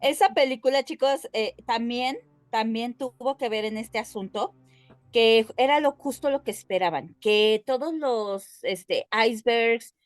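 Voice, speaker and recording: A woman with a Mexican Spanish accent co-hosting a podcast, explaining things casually and haltingly as she addresses her listeners. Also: a little high, dry recording, faint music behind. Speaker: podcast co-host.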